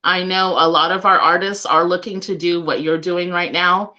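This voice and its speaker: A woman's voice: on the low side, steady tone, moderate pace, sharp tone, muffled recording. A woman hosting a casual radio interview, speaking in an encouraging way. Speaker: radio show host.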